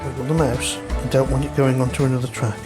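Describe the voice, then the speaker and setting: A man's voice: medium pitch, little pitch movement, fast, over music. A man hosting a repair video, casually explaining each step as he works through a hands-on demonstration. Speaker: host of a vintage audio repair video.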